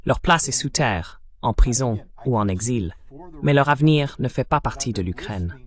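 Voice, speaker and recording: A man's voice: medium pitch, lively intonation, moderate pace. A man delivering dubbed political commentary as the translated voice of an interview guest.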